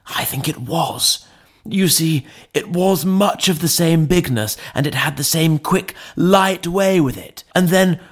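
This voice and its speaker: A man voicing an agitated, deferential elderly butler in an audiobook, loyal and frightened, speaking haltingly and emphatically. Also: a little high, slightly rough, pitched above the performer's own voice. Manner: halting, emphatic, servile address. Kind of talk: dramatized audiobook reading.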